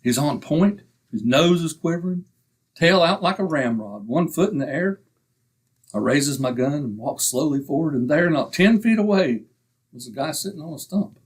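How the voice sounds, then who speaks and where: A man acts out a blustery middle-aged husband from an old-time radio comedy. He is slangy and boastful, given to grumbling, in a raised voice with a husky edge. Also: a little high, animated, moderate pace.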